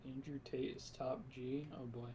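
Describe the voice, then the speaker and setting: A man's voice: mid-range voice, level tone, normal speed. Wry, joking male co-talker with a middling voice, some noise behind him. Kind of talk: casual chat.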